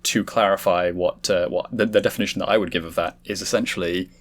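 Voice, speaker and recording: A man's voice: low-pitched, fairly even intonation, quick pace, a bit bright. A man hosting a casual podcast discussion, talking in a wordy, explanatory way. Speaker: host leading a discussion.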